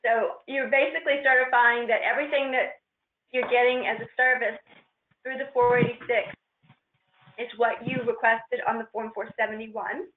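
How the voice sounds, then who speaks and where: A woman presenting on a training call, walking informally through a procedure step by step in a halting, hesitant way. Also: fairly high pitch, steady tone, a little hiss or hum. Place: conference call with shared screen. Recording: roomy, muffled conference-call line.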